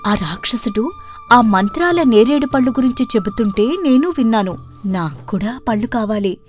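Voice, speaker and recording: Woman as a high-voiced stubborn young princess. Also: lively intonation, normal speed, faint music behind, lightly acted. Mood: insistent. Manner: insistent, coaxing. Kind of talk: storybook cartoon character voice.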